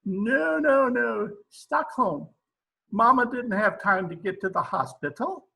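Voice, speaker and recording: High-voiced, halting Swedish immigrant fellow. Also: male voice, lively intonation, gravelly, sharp tone, full character voice. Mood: jolly, joking. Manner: halting, broken English, chuckling at own jokes. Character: jolly Swedish immigrant family man, joking, slow-witted. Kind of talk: old-time radio comedy acting.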